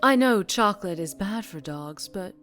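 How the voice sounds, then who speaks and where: A woman playing a dangerously charming female mafia boss in an ASMR roleplay, teasing and condescending with quiet, possessive menace. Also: mid-range voice, lively intonation, moderate pace, soft background music, lightly acted. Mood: teasing, probing.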